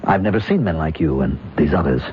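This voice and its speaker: Halting, bewildered scout, muffled radio. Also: male voice, extremely low pitch, expressive. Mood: solemn, halting. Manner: halting, solemn, searching for words. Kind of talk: old-time radio drama acting. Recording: muffled, hissy old radio recording.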